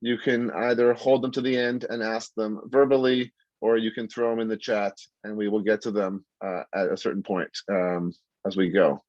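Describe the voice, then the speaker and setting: A man's voice: on the low side, steady tone, moderate pace, darkish tone, no echo. A man hosting an online lecture over a video call, talking earnestly with many fillers. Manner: earnest, with frequent fillers and restarts. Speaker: host. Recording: dull dry video call audio.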